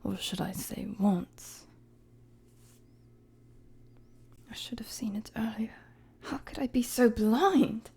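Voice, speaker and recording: A woman playing a weary, guarded young queen in a dramatic audio roleplay, with a soft, breathy voice and measured, formal, hesitant phrasing. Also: fairly high pitch, slight background noise. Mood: weary, apologetic. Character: weary young queen, regal, guarded, firm with her friend.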